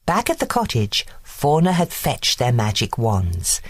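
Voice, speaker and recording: A woman narrating a scripted children's bedtime story in an expressive storytelling manner. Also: a bass voice, unhurried, breathy edge, no echo, clean studio sound.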